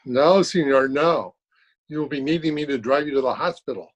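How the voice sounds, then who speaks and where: A man's voice: mid-range voice, moderate pace, lo-fi sound, close to an everyday voice. A man playing a courteous, gloomy taxi driver in an old-time radio drama. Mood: deadpan gloomy. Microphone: video call.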